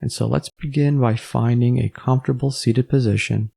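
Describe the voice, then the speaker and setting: Male meditation guide, mellow tone, creaky fry, gentle, measured phrasing, frequent pauses. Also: mid-range voice, steady tone.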